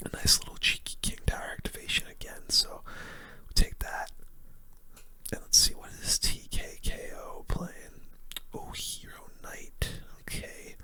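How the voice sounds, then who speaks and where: A person giving casual, slangy, mildly grumbling gaming commentary in a breathy ASMR whisper. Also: measured pace, pushed and bright. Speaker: gaming video host.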